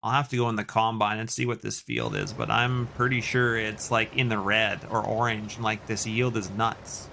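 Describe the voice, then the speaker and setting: A man's voice: lowish voice, level tone, normal speed, vocal fry, hardly any pauses, sharp tone, slight background noise. A Canadian man chatting casually and in a rambling way as he hosts a video game livestream and responds to his chat. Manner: rambling, reacting to chat messages.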